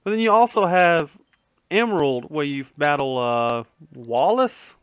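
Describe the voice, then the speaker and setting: A man's voice: on the high side, lively intonation, measured pace, a bit bright, clear, resonant tone, dry recording. A man giving casual, rambling gaming commentary, conversational and opinionated, often trailing off and correcting himself. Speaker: gaming video host.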